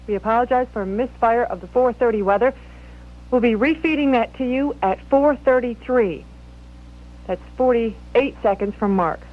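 A woman's voice: on the high side, moderate pace. A woman making a formal service announcement to radio stations as a weather feed announcer. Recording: tinny phone-like feed.

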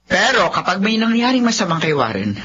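A woman's voice: mid-range voice, big pitch swings, fairly quick, fairly bright tone, a little hiss or hum. An actress playing an exasperated, worried middle-aged mother with a Filipino accent in a radio drama. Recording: dull lo-fi audio.

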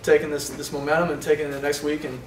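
A young college quarterback speaks at a postgame press conference, halting, with many fillers. His pitch sits a little high but barely moves. The microphones are set back, so the voice sounds distant and roomy with faint noise. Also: little pitch movement. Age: young adult. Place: indoor press room in front of a sponsor backdrop. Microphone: table microphones from a short distance.

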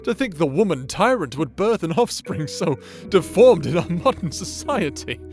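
A man's voice: on the high side, very animated. A man playing a doting, theatrical necromancer husband in a romantic ASMR audio drama, teasing and formal in his diction. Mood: amused scorn. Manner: teasing, slow, formal old-fashioned diction. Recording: soft instrumental music bed behind voice.

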